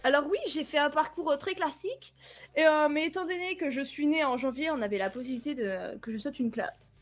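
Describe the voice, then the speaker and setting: Une jeune femme du sud de la France, invitée d'une émission esport, qui parle de son parcours sur un ton joueur et moqueur envers elle-même, en riant souvent. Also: female voice, sits high, brisk. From southern France, near Aix-en-Provence. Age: young adult. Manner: playful, self-mocking, laughs often. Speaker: guest, esports team member. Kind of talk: casual interview chat.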